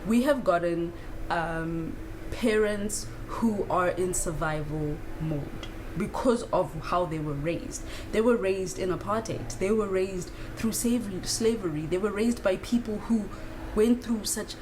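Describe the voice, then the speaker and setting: A woman's voice: mid-range voice, measured pace. A woman around thirty from South Africa, talking through spiritual ideas in a candid, casual monologue with frequent fillers and the odd swear word. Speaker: spiritual vlogger. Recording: clear background noise.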